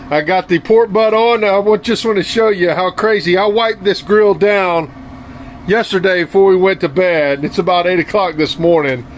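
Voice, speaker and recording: Folksy Southern man, fairly high voice. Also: reverberant, slight background noise. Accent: Southern American. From Southern United States. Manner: folksy, easygoing, talks straight to viewers. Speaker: barbecue channel host. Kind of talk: casual cooking tutorial. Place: home kitchen, then outdoor grill area.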